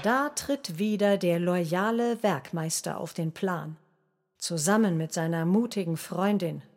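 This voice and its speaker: A woman reading a scripted film synopsis in a measured, deliberate way for a radio play, with accordion music behind her. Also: fairly low pitch. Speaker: narrator in a radio drama. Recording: loud music under the voice.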